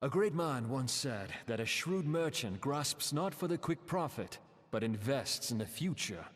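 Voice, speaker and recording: A man's voice: mid-range voice, very animated, unhurried. An actor playing a wry, confident man offering counsel in a fantasy game. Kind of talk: dramatic fantasy game cutscene acting. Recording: long echoing hall reverb.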